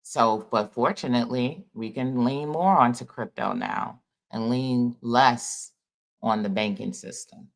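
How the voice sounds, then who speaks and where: A man's voice: mid-range voice, a little slow, creaky. A man giving a loose, rambling spiritual talk to his viewers in an informal, folksy way. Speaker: spiritual vlogger. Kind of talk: casual spiritual monologue.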